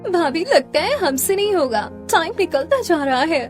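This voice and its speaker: A woman's voice: very high-pitched, sing-song, moderate pace. A woman voicing a proud young designer sister-in-law in a Hindi cartoon family drama, speaking in an emphatic, dramatic way. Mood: anxious, strained. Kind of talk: melodramatic Hindi cartoon story dialogue. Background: music.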